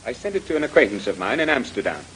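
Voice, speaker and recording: Arrogant male painter, sneering, impatient, lively intonation, 1930s radio acting, faint noise. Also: medium pitch, very fast. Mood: contemptuous, impatient. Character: haughty, sneering, impatient society portrait painter. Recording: noisy old radio drama recording.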